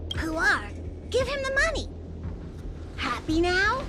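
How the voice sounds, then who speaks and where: A woman voicing a scheming gang boss in a game cutscene, slow and mock-polite. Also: very high-pitched, very animated, background noise, exaggerated voice. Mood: smug menace. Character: scheming female gang boss, cold and threatening. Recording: game audio over music.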